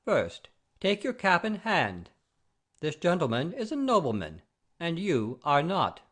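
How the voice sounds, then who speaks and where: A man's voice: a little high, huge pitch swings, unhurried, a bit bright, slightly rough, heavily acted. A man playing an imperious, pompous father-in-law obsessed with honour and rank in a classic comedy audio play. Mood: bossy, insistent. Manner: commanding, pompous, formal.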